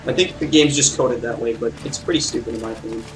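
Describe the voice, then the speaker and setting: A man's voice: medium pitch, quick pace, recorded from a distance, poor audio. A man giving casual let's play commentary on a video game. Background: game music.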